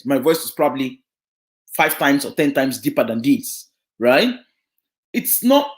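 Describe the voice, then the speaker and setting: A woman from Africa on a live broadcast, advising parents about social work. She talks earnestly, repeats key points and keeps asking "right?". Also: fairly low pitch. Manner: animated, keeps checking in with "right?". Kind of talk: advice talk to parents.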